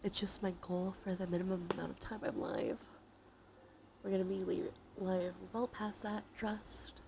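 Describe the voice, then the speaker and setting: A sniffly woman with a sore throat chatting softly on a cozy ASMR livestream, often dropping into whispers. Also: medium pitch, normal speed, vocal fry, a little hiss or hum.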